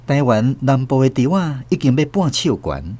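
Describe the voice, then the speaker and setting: A man's voice: medium pitch, very animated, moderate pace, a little mellow. An actor with a Taiwanese accent playing a wistful older man who narrates his memories of a lost love in a radio drama. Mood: reflective.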